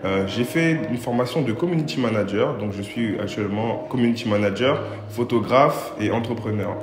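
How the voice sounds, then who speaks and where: A man's voice: lowish voice, moderate pace. Man in his twenties, from Paris, casual interview talk, hesitant, slangy, echoey room, music behind. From Paris, France. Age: 20s. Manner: hesitant, slangy, many fillers. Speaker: interviewee, video creator.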